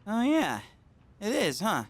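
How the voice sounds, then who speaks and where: A man's voice: high-pitched, huge pitch swings, slow, full character voice. An actor playing a goofy, girl-crazy teenage boy in a video game, slangy and exaggerated. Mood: dreamy. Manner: slangy, exaggerated, swinging between dreamy and whiny.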